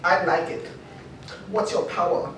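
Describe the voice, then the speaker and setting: A woman's voice: fairly low pitch, steady tone, a little slow, natural voice. A woman playing a patient but exasperated teacher of young superhero children in a comedic script read live. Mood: weary, exasperated. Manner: coaxing, wearily exasperated. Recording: echoey panel room, distant mic, faint noise.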